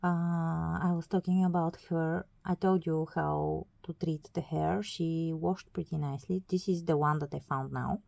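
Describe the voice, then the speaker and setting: A woman's voice: low-pitched, steady tone, a little slow, creaky, hardly any pauses, warm tone. A woman whose first language is not English, explaining a hobby process in a casual, hesitant way with many fillers and self-corrections. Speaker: doll collector. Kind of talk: casual tutorial.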